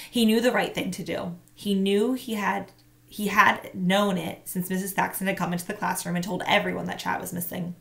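Expressive teacher reading a storybook, gravelly. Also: female voice, mid-range voice. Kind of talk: read-aloud storytelling. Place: small home room. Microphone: webcam at close range.

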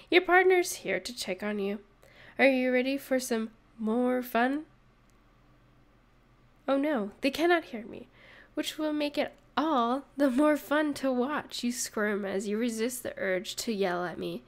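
A woman's voice: high voice, heavily acted. A woman playing a sarcastic narrator in a comedic audio roleplay, teasing and mock-sympathetic as she mocks a listener. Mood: gleeful. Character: sarcastic, mocking narrator gleefully needling a hungover catgirl. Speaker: voice actress.

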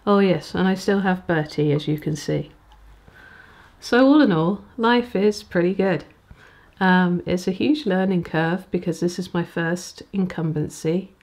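Gravelly-voiced woman vicar vlogging. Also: lowish voice, a little mellow. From England. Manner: chatty, halting with small restarts. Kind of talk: casual vlog to camera. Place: small home room indoors. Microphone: handheld recorder near the camera.

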